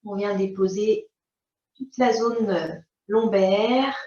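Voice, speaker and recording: Slow-paced Frenchwoman guiding yoga, roomy sound. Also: medium pitch. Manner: calm, unhurried, instructing in short phrases. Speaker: yoga teacher. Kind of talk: guided yoga and relaxation instruction. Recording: roomy, distant mic, dull sound.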